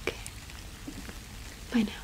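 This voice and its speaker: A young woman playing a kind, welcoming cottage witch in a whispered ASMR roleplay, speaking softly and reassuringly without hurry. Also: heavy background noise, close to an everyday voice. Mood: kindly, welcoming. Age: young adult. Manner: soft, unhurried, gently reassuring. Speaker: ASMR performer.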